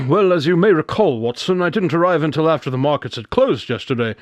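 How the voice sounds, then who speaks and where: A man's voice: fairly high pitch, expressive, a little fast, no room sound, lightly acted. A man acting a shrewd, unflappable private detective in a modern radio drama, with a wry, knowing, teasing manner. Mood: smug, teasing.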